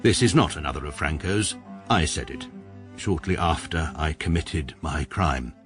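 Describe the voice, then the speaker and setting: A man's voice: a bass voice, animated, normal speed, a bit bright, soft background music. A man with a British accent narrating as a precise, pedantic middle-aged barrister in a radio comedy. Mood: dry. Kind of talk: first-person radio narration.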